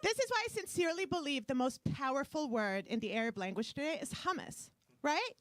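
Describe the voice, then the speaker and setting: A woman's voice: sits high, big pitch swings, brisk, dry recording. Brash Canadian woman doing stand-up. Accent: Brooklyn-sounding English. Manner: brash, self-mocking punchlines to a laughing crowd. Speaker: stand-up comedian. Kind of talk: stand-up comedy set. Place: club stage before a live audience.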